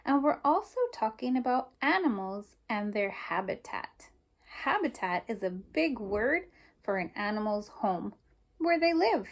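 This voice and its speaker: A woman's voice: on the high side, big pitch swings, measured pace. A woman teacher reading a picture book aloud to young children, explaining simply and prompting them with questions.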